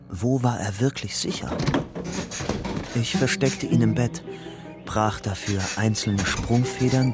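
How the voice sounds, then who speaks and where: An actor as a low-voiced, anxious young fugitive. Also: male voice, lightly acted. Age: young adult. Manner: brooding narration alternating with urgent questioning. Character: young man on the run, anxious, guarded. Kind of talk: German radio crime drama, first-person narration and dialogue.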